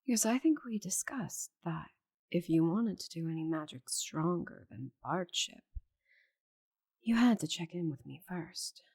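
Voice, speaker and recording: Girl doing a giant stubborn dragon lady, asmr roleplay, lowish husky breathy voice, bossy n secretive, whispers a lot. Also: expressive, a little slow, a little mellow, exaggerated voice. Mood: drowsy, imperious. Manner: breathy, haughty, sighing, slipping into whispers. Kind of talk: intimate ASMR roleplay acting.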